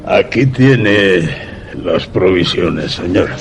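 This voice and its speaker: An actor playing a gruff, uneasy deliveryman in a suspense radio drama, with a gravelly voice and a slow, deliberate delivery. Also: sits low, lively intonation, faint music behind, full character voice. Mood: matter-of-fact. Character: gruff working-class deliveryman in dirty overalls, uneasy. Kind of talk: Spanish-language suspense radio drama acting.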